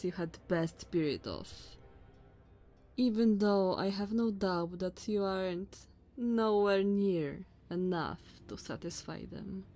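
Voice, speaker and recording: Woman doing a tall vampire noblewoman for asmr roleplay, really slow and drawn out, haughty, bossy, mocking, talks all formal. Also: lowish voice, warm tone. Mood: scornful. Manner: slow, condescending, theatrical formal diction.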